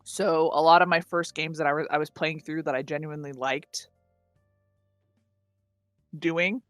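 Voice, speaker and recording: A woman with a husky voice, co-hosting a podcast in loose, self-mocking casual chat full of filler words and casual swearing. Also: deep voice, fairly even intonation, measured pace, fairly bright tone, no echo, faint music behind. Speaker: podcast co-host and streamer.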